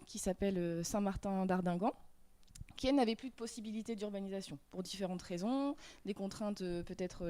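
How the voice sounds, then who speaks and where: A woman's voice: mid-range voice, dark tone. A woman giving a workshop presentation on slides, hesitant with many fillers and restarts.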